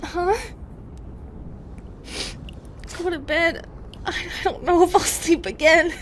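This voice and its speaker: A woman playing an anguished, remorseful female medusa in an intimate audio roleplay, tender and fragile, with a halting, emotional, pleading delivery. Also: extremely high pitch, fairly even intonation, a little hiss or hum. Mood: pleading, tearful.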